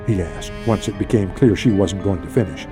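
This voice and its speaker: A man narrating an audiobook of fiction with an expressive, dramatic delivery. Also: sits low, fairly quick, over music.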